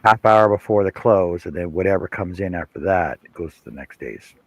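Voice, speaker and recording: Lively low-voiced man, blunt. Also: normal speed, dry recording, muffled recording. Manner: blunt, animated storyteller. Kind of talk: casual chat.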